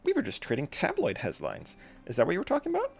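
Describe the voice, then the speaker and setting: A man's voice: a little high, wildly swooping pitch, quick pace, creaky, darkish tone, soft background music, pitched above the performer's own voice, exaggerated voice. A man voicing a chatty young female reporter in a video game, with a breezy, dramatic manner. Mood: earnest. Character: young female tabloid-savvy reporter, chatty, theatrical, earnest.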